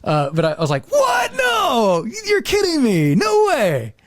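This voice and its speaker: A man chatting casually on a podcast in an excitable, emphatic way. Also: very high-pitched, unhurried, no echo, shouting, studio quality. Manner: excitable, emphatic, repeats phrases.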